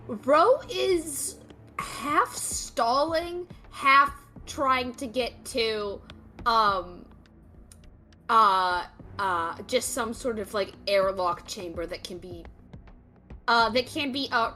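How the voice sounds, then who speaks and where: A woman player describing her character's actions in a tabletop game, halting as she searches for words. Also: on the high side, bright, forceful tone.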